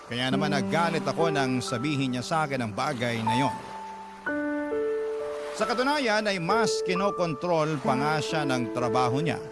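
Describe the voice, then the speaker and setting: An actor with a Filipino accent playing an aggrieved young boyfriend, narrating his heartbreak earnestly in the first person for a radio drama. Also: fairly high pitch. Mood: aggrieved, explaining.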